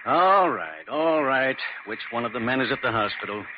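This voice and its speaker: An actor playing a gruff, impatient, callous building site foreman in an American radio drama, brusque, dismissive and sarcastic. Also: mid-range voice, expressive, moderate pace, natural voice. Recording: muffled, noisy old radio recording.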